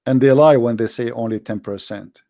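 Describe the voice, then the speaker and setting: Male host, native Arabic speaker with Arabic accent, mid-pitched, dry close sound; blunt, insistent, impassioned monologue delivery. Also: normal speed, creaky, warm tone, no room sound. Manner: emphatic, blunt, repeats phrases, rhetorical questions. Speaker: host, religious polemicist. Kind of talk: impassioned informal monologue to online audience.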